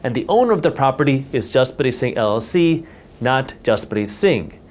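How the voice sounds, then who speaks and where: A man's voice: mid-range voice, very animated, moderate pace, a little mellow. A man whose first language is Punjabi, explaining how to protect wealth in a whiteboard talk, stressing points by repeating words. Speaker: finance educator.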